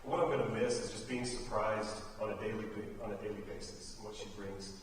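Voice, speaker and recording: An American man giving an informal, folksy speech as a theater director honoring students, halting and often repeating phrases. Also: fairly low pitch, fairly even intonation. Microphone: distant microphone. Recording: very echoey hall, distant mic, faint noise.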